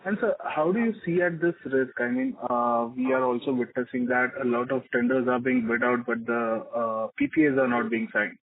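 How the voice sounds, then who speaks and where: A man's voice: mid-range voice, normal speed, few pauses. A man, a fund analyst, politely asking executives questions on an earnings call and calling them sir. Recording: tinny phone line with clear noise.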